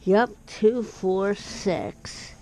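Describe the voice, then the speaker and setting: A woman's voice: medium pitch, fairly even intonation, extremely slow, a little mellow. A middle-aged woman talking casually and muttering to herself as she shops, recorded on a body-worn action camera. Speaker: vlogger out shopping. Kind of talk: casual chat and muttering to self while shopping. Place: small store, moving about indoors. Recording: noisy action camera in a store.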